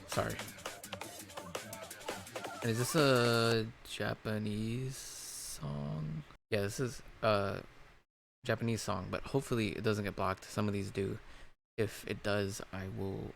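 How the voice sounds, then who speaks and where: Male reaction host, hoarse and losing his voice, deep and gritty, casual, halting delivery. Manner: rambling, halting, full of fillers and restarts.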